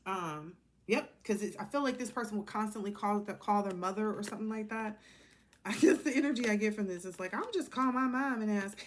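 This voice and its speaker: A woman's voice: medium pitch, fairly quick, a little hiss or hum. An American woman giving a casual, rambling tarot card reading in a blunt, sassy, colloquial way.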